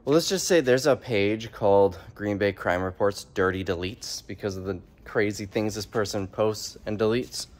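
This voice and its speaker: A man's voice: fairly low pitch, moderate pace, hardly any pauses. Young man vlogging on his phone. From United States. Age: young adult. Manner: rambling, matter-of-fact, filming himself while working. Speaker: vlogger running a warehouse sale. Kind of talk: casual vlog chat. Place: warehouse indoors and outdoors by the road. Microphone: phone held at arm's length.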